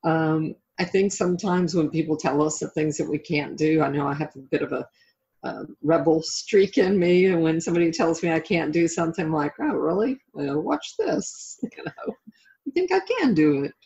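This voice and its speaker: A woman's voice: deep voice, animated, moderate pace, gravelly, dark tone, muffled recording. A middle-aged woman being interviewed as a life coach and author, talking reflectively and conversationally in an encouraging way.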